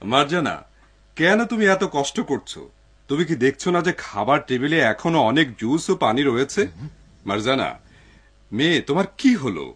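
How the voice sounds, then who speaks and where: A man voicing a kindly, honest older father in a dubbed cartoon, expressive and husky. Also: mid-range voice, moderate pace. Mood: alarmed.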